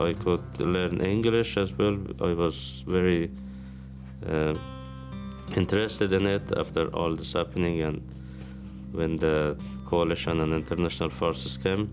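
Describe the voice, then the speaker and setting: A young Afghan man speaking English with an Afghan accent, telling his life story haltingly with many pauses. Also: deep voice. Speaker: interviewee. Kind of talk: documentary testimony. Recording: music bed under the voice.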